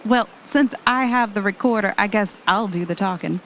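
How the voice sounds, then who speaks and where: A woman's voice: medium pitch, normal speed, a bit bright, a little hiss or hum, lightly acted, poor audio. A woman playing an anxious but adventurous podcaster on vacation in an audio drama. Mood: upbeat, coaxing.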